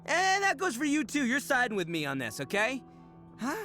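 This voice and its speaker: An actor playing a brash, goofy young horned oni gang leader in a video game, boisterous, boastful and slangy, with theatrical swings. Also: male voice, very high voice, huge pitch swings, normal speed, sharp tone, faint music behind, full character voice. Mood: jealous, petulant. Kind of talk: video game character voice acting.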